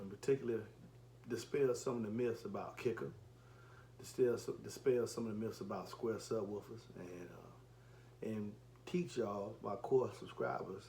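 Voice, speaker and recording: A man's voice: lowish voice, darkish tone. A man in his forties talking casually in a rambling, self-correcting way, as a reviewer filming an unboxing video. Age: 40s. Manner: rambling, often repeats and corrects himself. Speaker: car audio reviewer and enclosure builder. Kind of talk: casual unboxing vlog talk. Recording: echoey room with faint background noise.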